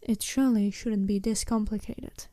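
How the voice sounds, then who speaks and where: A woman playing a smooth, businesslike and seductive werewolf bride in a soft-spoken ASMR roleplay, speaking politely and formally in measured phrases. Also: mid-range voice, steady tone, no echo, natural voice. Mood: frank, measured.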